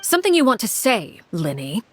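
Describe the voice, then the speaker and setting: An actress playing a cold, commanding woman who heads a house, speaking in a measured, formal and icily calm way. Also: on the high side, slight background noise. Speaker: villainous house leader.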